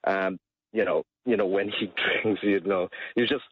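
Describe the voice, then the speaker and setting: Husky, low man, chatting haltingly. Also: fairly even intonation, no room sound. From Malaysia. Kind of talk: casual chat. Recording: dull, slightly muffled radio audio.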